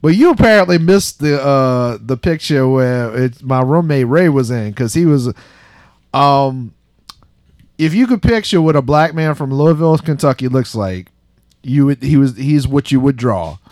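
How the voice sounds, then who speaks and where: A man's voice: mid-range voice, expressive, moderate pace, darkish tone, dry recording, clean studio sound. A man co-hosting a casual comedy podcast, teasing and conversational with his friends. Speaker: podcast co-host. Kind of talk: casual comedic group banter.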